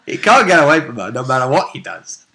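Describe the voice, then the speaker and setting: A man chatting casually as a tabletop roleplay player, jokey and quick to chip in. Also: medium pitch, very animated, crowd noise. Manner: jokey, interjecting. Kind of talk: casual banter.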